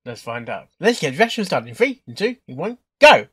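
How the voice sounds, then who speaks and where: Young man commentating with very animated pitch. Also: moderate pace, breathy edge, dry recording, studio quality. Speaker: reaction video host. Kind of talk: casual reaction commentary. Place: indoor room at a computer, headset on.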